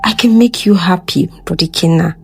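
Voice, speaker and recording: A woman's voice: brisk, creaky, a little mellow, faint music behind. Woman putting on a scheming seductress. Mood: seductive. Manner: sweet coaxing then shrill fake screaming.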